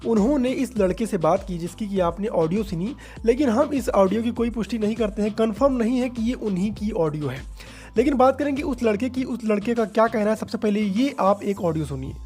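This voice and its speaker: A man's voice: sits high, animated, brisk, darkish tone. A man hosting entertainment news, explaining things directly to viewers. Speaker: YouTube entertainment news host. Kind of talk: explanatory commentary addressed to viewers. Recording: background music under voice. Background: music.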